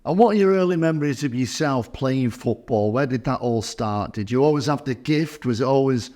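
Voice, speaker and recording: A man's voice: mid-range voice, lively intonation, brisk, a little mellow. A man hosting a podcast interview, asking encouraging questions in an upbeat way. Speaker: podcast host.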